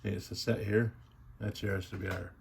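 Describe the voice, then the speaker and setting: A man with a gravelly, fairly low voice gives a casual hands-on explanation. He talks in a folksy, rambling, colloquial way, with faint noise behind him. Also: flat delivery, normal speed. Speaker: radio hobbyist demonstrating equipment.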